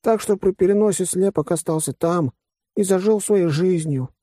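Man voicing a high-pitched, bookish furry creature. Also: normal speed, warm tone, no echo, studio quality. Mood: thoughtful. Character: earnest furry creature with a scholarly, thoughtful mind.

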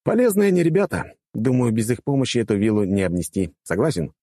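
A man's voice: mid-range voice, very animated, quick pace, mellow, no echo, studio quality, voice pushed up. A man voicing a wry, confident male adventurer in a fantasy audiobook, amused and easygoing. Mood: musing. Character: wry, confident male swordsman adventurer talking to his horse.

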